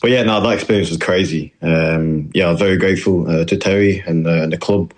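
A man's voice: low-pitched, a little fast. A young man with a northern English accent, a footballer answering interview questions politely and hesitantly, with many fillers. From New Zealand. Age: young adult. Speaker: footballer guest.

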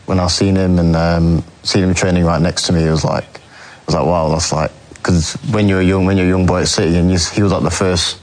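A young man, answering interview questions in a halting way, full of ums and restarts. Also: deep voice, steady tone, a little mellow, poor audio. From England. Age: young adult. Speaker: footballer guest.

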